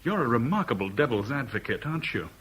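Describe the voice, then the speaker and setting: A man's voice: medium pitch, big pitch swings, quick pace, fairly bright tone. An actor playing a bitter, lovelorn man in a 1970s radio drama, sardonic and clipped. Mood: bitter, insistent. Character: bitter, lovelorn man caught in a love rivalry. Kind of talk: 1970s British radio drama acting with narration. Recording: noisy old radio broadcast recording.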